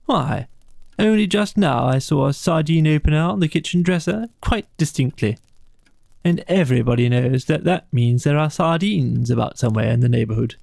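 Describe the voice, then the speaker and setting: A man voicing a cheery, practical storybook water rat with a brisk, encouraging, chummy manner. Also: a little high, vocal fry, a little mellow, no room sound, clean studio sound. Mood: reproachful, rousing. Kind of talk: dramatised storybook reading.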